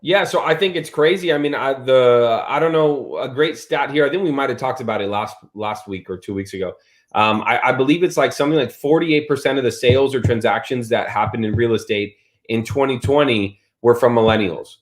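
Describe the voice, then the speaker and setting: A man in his thirties in casual chat, telling stories with lots of fillers and lively swings in his voice. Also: mid-range voice, fairly quick. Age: 30s. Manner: animated storyteller, many fillers.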